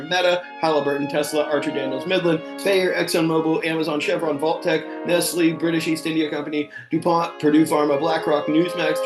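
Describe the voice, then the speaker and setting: A man co-hosting a live comedy show, bantering casually with a deadpan, mock-earnest delivery. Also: fairly high pitch. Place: online video call. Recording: roomy, echoey sound.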